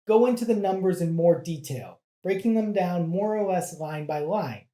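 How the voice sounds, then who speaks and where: A presenter giving an educational explanation in a measured, plain-spoken way, like a guide for learners. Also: mid-range voice, mellow, roomy sound.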